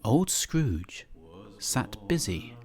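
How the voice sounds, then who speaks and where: A male reader performs a classic tale live in a church, lingering theatrically over every descriptive word. He takes his time, and his voice rises and falls dramatically from phrase to phrase, with a middle pitch at its core. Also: sing-song, drawn-out words, crowd noise. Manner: theatrical, savouring descriptive words.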